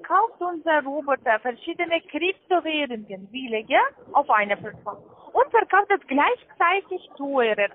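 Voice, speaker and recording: High-pitched foreign-accented woman on phone. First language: not German. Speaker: call centre account manager. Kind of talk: sales pitch on a phone call. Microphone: telephone. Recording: muffled narrow-band phone line.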